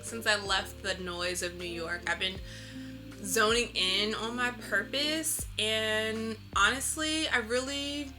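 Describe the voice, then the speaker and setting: Woman, interview guest, very bright and crisp-edged tone, expressive casual talk, light music underneath. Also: fairly high pitch, takes it slowly. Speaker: interview guest, visual artist.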